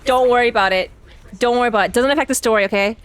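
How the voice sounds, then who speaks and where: A woman's voice: on the high side, racing, clear, resonant tone. A woman streamer giving casual, chatty, playful reactions to a game, often repeating short phrases. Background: game music and voices.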